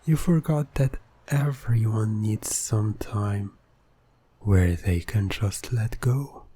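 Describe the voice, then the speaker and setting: A man playing a smooth-talking, persuasive hypnotist in an ASMR roleplay, speaking softly and soothingly with deliberate, breathy delivery. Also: fairly low pitch, slight background noise. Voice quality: soft, breathy. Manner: soothing, persuasive, deliberate, often dropping to a whisper. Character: smooth-talking, coaxing male hypnotist playing innocent motorist.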